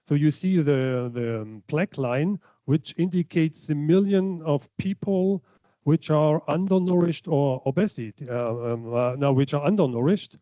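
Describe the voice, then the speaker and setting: A man's voice: medium pitch, mellow, no echo, lo-fi sound. A man from Germany, giving a conference lecture from slides, hesitant, with many fillers and restarts. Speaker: keynote speaker.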